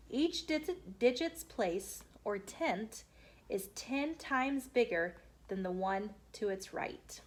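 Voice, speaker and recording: A woman hosting storytime, reading a children's book aloud in a measured, explanatory way. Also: medium pitch. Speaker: storytime host.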